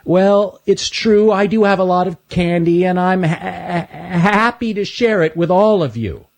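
A man voicing a shy young male storybook character who stutters on his first sounds, gentle and earnest. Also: sits high, a little slow, creaky, voice pushed up.

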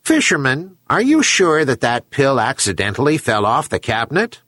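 A man's voice: medium pitch, huge pitch swings, moderate pace, pushed and bright, dry recording, pitched above the performer's own voice, heavily acted. A man voicing a wise herring gull in a storybook tale, admonishing and philosophical. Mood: probing. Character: wise, chiding herring gull, moral counselor.

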